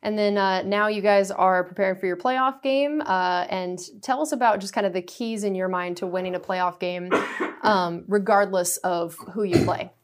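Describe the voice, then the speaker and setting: A woman's voice: mid-range voice, normal speed, clean tone. A woman who is a team reporter hosting a radio sports show, asking poised, upbeat interview questions.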